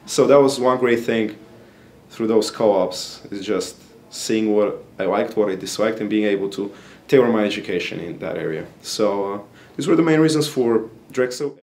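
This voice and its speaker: Young bulgarian-born man, grad student, low-pitched, earnest, explains with uh fillers, small plain office, close camera. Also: moderate pace, darkish tone. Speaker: graduate student. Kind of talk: interview answers.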